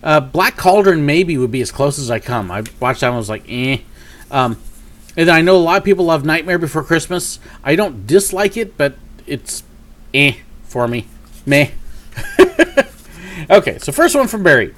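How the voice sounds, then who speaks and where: A man's voice: a little high, big pitch swings, normal speed, fairly bright tone. Chatty sniffly man on a live stream. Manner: chatty, upbeat, rambling with many fillers. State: sniffly from a cold. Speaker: YouTube host. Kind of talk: live Q&A and mail call. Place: home office with shelves of collectibles.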